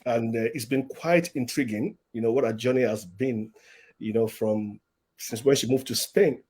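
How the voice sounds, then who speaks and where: A man hosts a live-streamed interview from home over a video call. He speaks at a middling pitch, stumbles and repeats words, and reads viewer comments aloud between questions. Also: normal speed, darkish tone, slightly rough. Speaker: host.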